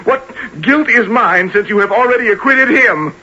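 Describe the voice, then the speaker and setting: A man acting an earnest, defiant young army lieutenant in a period radio drama, halting and then declamatory. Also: very high voice, pushed and bright, heavily acted. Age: young adult. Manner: halting when pressed, impassioned and declamatory. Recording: dull lo-fi old radio sound.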